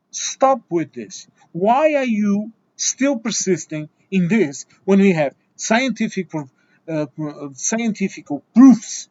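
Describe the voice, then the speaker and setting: A man's voice: sits high, darkish tone, no room sound, dull audio. A man from Portugal, a Portuguese native speaker, giving an exasperated, lecturing opinion monologue full of restarts and repeated words. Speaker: video essayist.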